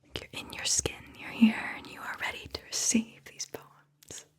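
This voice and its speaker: A woman doing soft-spoken, breathy ASMR, whispering in a soothing, intimate way as she talks and reads poetry, lingering on words. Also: normal speed. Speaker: ASMR host and poetry reader.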